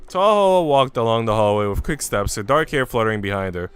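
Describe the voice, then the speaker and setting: A man streaming a visual novel, reading it aloud as expressive narration and making casual asides. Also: medium pitch, a little fast, smooth. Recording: music playing behind the voice. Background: music.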